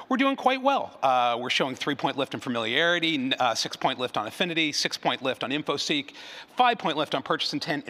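A man's voice: fairly high pitch, bright, forceful tone. A man giving a conference presentation in a conversational way, often doubling back on his phrases. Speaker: conference speaker, advertising researcher. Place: large room, live event. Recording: reverberant hall sound.

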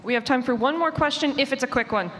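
A woman's voice: high-pitched, fast, a bit bright. A woman informally moderating a live panel and relaying questions. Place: convention room with audience. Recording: echoey hall with background noise.

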